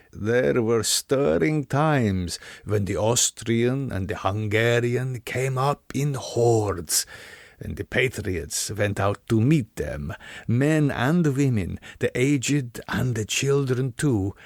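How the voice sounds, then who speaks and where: Old nobleman vampire, slow, deliberate, courtly, proud, menacing, gothic audiobook delivery, dry sound. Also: medium pitch, creaky, no room sound, full character voice. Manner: slow, grandly formal, deliberate phrasing. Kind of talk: gothic audiobook character reading.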